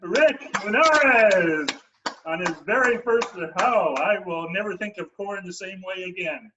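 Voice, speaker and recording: A man hosting an online comedy show, introducing acts in a halting way with many fillers. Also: high voice, lo-fi sound, noisy background. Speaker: show host.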